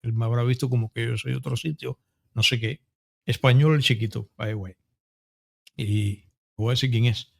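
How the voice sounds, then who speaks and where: Gravelly Cuban man hosting an interview. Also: mid-range voice, normal speed, long pauses. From Cuba. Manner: animated storyteller, full of restarts and asides. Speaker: podcast host and interviewer. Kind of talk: casual conversational interview.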